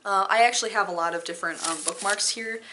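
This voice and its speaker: A woman's voice: medium pitch, fast talker, background noise. A woman doing an amateur dramatic poetry reading with casual asides, theatrical and self-deprecating in manner.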